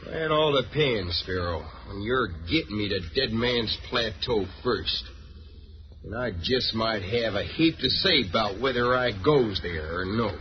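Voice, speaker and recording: An actor playing a cool, defiant captive cowboy hero in an old radio western. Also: male voice, lowish voice, lively intonation, a little slow. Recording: dull, noisy old radio recording.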